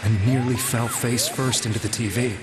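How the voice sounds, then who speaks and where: An American man voicing a troubled middle-aged game character who confesses with halting, anguished earnestness, full of guilt and grief. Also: mid-range voice, fairly even intonation, loud music behind the voice, natural voice. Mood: haunted, reflective. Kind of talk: dramatic video game monologue.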